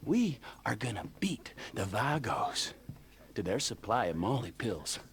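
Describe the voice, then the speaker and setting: An actor playing a manic, volatile drug-dealer boss in a video game cutscene, theatrical and swinging from hushed growls to shouts. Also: male voice, fairly low pitch, sing-song, measured pace, full character voice. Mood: fired-up scheming.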